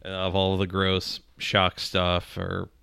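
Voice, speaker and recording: A man co-hosting a casual comedy podcast, circling through halting descriptions with deadpan asides. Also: sits low, vocal fry, smooth, dry recording, clean studio sound. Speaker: podcast co-host.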